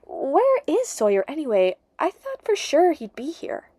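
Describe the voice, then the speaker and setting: Woman reading as an evasive, higher-pitched teenage girl. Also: huge pitch swings, unhurried, dark tone, dry recording, clean studio sound, full character voice. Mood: flustered, evasive. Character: evasive, gossip-loving teenage girl friend, pressing then sheepish. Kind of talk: audiobook character dialogue.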